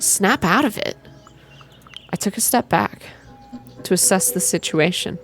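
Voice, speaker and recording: A woman reading a story game aloud in ASMR style, slow and gentle, with casual asides. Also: fairly low pitch, creaky. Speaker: game streamer reading a visual novel aloud.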